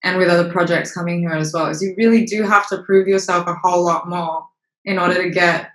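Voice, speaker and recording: Frank actress in a roomy space. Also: female voice, lowish voice, steady tone. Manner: frank, halting, many fillers and restarts, occasional swearing. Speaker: actress, interview guest. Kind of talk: candid conversational interview. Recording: roomy echo.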